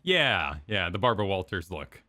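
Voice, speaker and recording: A man's voice: deep voice, very animated, normal speed, sharp tone. A man co-hosting a stream, chatting casually as he reacts to video game cutscenes. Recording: game music playing behind voice. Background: video game cutscene music.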